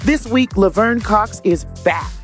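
A woman's voice: medium pitch, a little slow, soft background music. A podcast host delivering a sassy, showy promo for her show.

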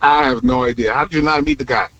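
A man's voice: medium pitch, quick pace, a bit bright, no room sound, muffled recording. A man calling a radio show, giving his opinion casually, bluntly and emphatically.

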